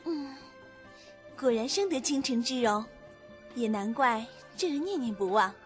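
A woman's voice: a little high, expressive, a little slow. A woman playing a bold, girlish dragon princess, pert and teasing, in a Chinese fantasy radio drama. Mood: appraising. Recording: music under the amateur drama voice. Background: background music.

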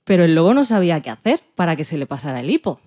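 A woman's voice: low voice, very animated, rapid-fire, warm tone. A woman with a Spain accent narrating a dramatized children's story expressively. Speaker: podcast presenter and story narrator.

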